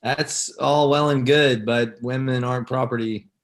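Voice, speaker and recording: A young man with a middling pitch played by a man at a table read. He is a laid-back, unimpressed rival in a naturalistic script over a video call. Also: steady tone, a little slow, lightly acted. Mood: puzzled, dry. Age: young adult. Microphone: computer video-call mic.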